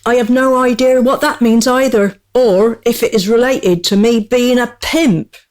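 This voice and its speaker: A woman's voice: a little high, steady tone, a little slow, darkish tone, natural voice. A woman playing a small, witty court jester in a radio play, speaking in a wry, mock-courtly way. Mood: confiding, grave.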